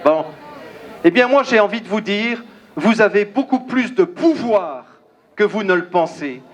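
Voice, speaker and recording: A man's voice: high-pitched, animated, dull audio, crowd noise. Emphatic Belgian man addressing a rally. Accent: Belgian French. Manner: emphatic, declamatory, pausing often. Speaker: rally speaker. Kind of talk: outdoor rally speech. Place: outdoor crowd gathering.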